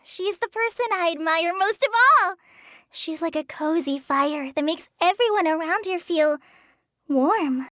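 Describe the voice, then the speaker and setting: Bashful young ranger girl, very high pitch, earnest, halting, apologetic, game character, dry close sound. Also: no room sound, exaggerated voice. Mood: admiring, wistful. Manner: hesitant, apologetic, soft-hearted, halting with stammers. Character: shy, earnest teenage girl, trainee forest ranger. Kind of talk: video game character voice lines.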